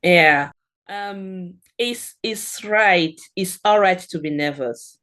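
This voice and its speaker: A middle-aged woman with a Nigerian accent speaks as a podcast guest, in a somewhat low voice. She gives earnest, encouraging advice in short, steady phrases. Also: slow. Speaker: guest, German language expert. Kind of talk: podcast interview.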